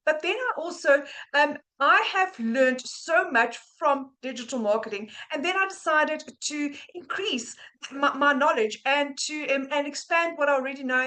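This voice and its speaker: Bright high-voiced woman. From South Africa. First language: Afrikaans. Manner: earnest, many ums and restarts. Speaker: guest sharing a testimonial. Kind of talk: personal testimony. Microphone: video call.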